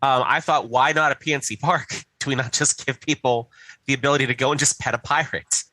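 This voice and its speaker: A man's voice: medium pitch, fairly even intonation, fairly quick, pushed and bright, dry recording. An American man from Pittsburgh telling casual anecdotes as a podcast co-host. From Pittsburgh, USA. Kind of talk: casual chat, storytelling.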